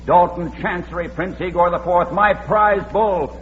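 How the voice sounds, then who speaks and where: An actor playing an agitated, accusing farmer with a high-strung, exclaiming manner in a 1940s radio mystery. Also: male voice, measured pace. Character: agitated, accusing farmer, proud owner of a prize bull. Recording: tinny, noisy old radio recording.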